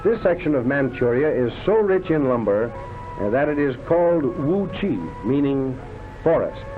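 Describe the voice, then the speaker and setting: A man's voice: fairly high pitch, lively intonation, normal speed. A man delivering scripted 1930s newsreel-style documentary narration in a declamatory, florid showman's manner. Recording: tinny band-limited vintage film soundtrack, loud music. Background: loud background music.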